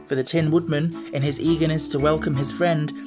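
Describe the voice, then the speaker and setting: A man narrating a classic children's audiobook in a measured, even storytelling manner. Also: a little high, music in the background.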